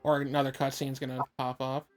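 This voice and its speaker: A woman's voice: extremely low pitch, level tone, fairly quick, darkish tone, soft background music. A woman bantering casually while gaming and dubbing with friends, joking and sweary. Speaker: gamer in a live fan dub.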